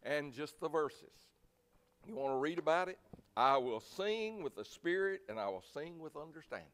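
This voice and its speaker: A man's voice: a little high, animated, moderate pace. Preacher man in a reverberant hall. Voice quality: loud voice. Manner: folksy, plainspoken, emphatic, swinging from quiet asides to loud exhortation. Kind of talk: sermon.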